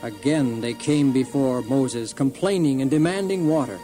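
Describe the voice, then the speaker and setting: A man's voice: medium pitch, normal speed. A man narrating a dramatized Bible story in a solemn, formal storytelling manner. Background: music under the voice.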